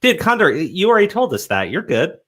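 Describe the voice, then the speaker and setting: A man's voice: sits high, big pitch swings, fast talker, a bit bright, slightly rough. A young man hosting a casual live stream, with a cold and a cough, rambling with many restarts. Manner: rambling, stumbling restarts, laid-back. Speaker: host.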